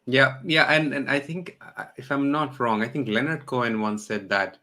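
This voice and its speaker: Bright-voiced Bangladeshi man hosting an interview. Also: medium pitch, normal speed. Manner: repeats words, builds questions in pieces. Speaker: interview host. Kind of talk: conversational interviewing.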